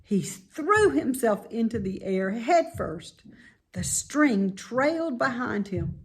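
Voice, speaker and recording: A woman narrating a children's book in an expressive read-aloud style. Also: mid-range voice, slightly rough. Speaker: storybook reader.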